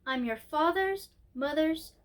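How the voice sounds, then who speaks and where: A woman voicing a very old, gentle and mysterious great-great-grandmother queen in a storybook, speaking patiently. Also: sits high, animated, slow pace, voice pushed up. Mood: patient, kindly.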